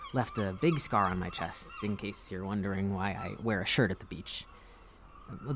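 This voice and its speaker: A man's voice: mid-range voice, a little hiss or hum, natural voice. An actor playing a kind, self-deprecating young man in an audio drama, hesitant and rambling as he talks. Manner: rambling, hesitant, nervous chuckles. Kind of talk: audio drama dialogue acting.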